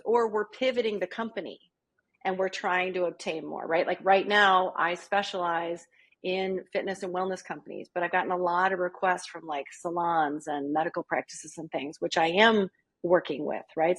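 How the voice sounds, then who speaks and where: A woman's voice: fairly low pitch, husky. A woman, a small business coach, speaking as a guest on a podcast interview in a chatty way that keeps checking in with her listeners. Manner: chatty, keeps asking "right?".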